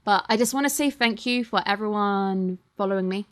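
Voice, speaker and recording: A young British woman in her twenties talks to her viewers from a home setup in a chatty, wandering way, with easy self-deprecating asides. Her voice sits at an ordinary middle pitch for a woman, and she sounds relaxed and informal. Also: brisk. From Britain. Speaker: channel host. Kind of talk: casual thank-you chat to viewers. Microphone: computer or webcam mic.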